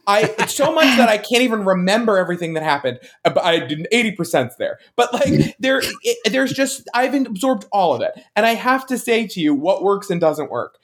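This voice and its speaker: Animated, higher-voiced man on a podcast. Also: a little fast, few pauses. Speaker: podcast co-host. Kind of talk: casual fan discussion.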